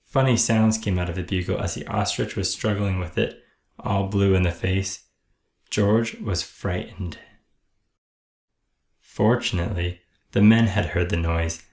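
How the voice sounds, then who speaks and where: A man reading a children's story aloud in an expressive, deliberate way. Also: deep voice, echoey room. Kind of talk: children's read-aloud narration.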